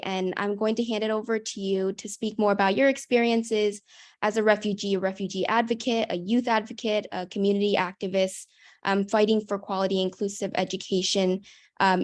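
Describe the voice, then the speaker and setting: Woman moderator with even, level delivery. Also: medium pitch. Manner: friendly, with frequent ums and uhs. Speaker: meeting moderator. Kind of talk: introducing a speaker at an online meeting.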